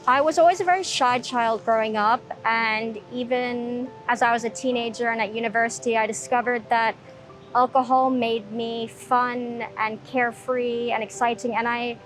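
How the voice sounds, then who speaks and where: A young woman from Hong Kong telling her own recovery story in a soft-spoken, reflective way, pausing often with ums. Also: a little high, rough recording. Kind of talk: personal testimony. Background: soft background music.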